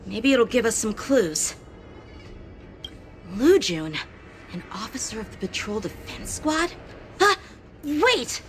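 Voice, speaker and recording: An earnest young investigator. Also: female voice, a little high, big pitch swings, soft background music. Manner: urgent, quick to worry. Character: earnest young woman investigator, alert and serious. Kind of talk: game voice acting.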